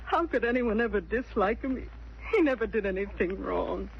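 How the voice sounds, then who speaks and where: An actress playing a grieving, bewildered widow, pleading and distressed, in 1940s radio style. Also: female voice, mid-range voice, brisk, gravelly, close to an everyday voice. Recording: dull, hissy old radio recording.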